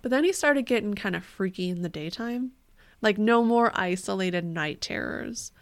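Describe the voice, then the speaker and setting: A woman's voice: mid-range voice, lively intonation, moderate pace, dry recording. A woman co-hosting a podcast, telling a story in a casual, joking, slangy and sweary way. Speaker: podcast co-host.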